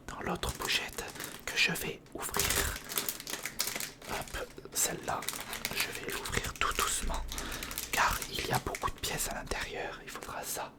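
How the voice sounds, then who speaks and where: An ASMR creator whispering casual step-by-step commentary on a hands-on build, murmuring directly to the listener.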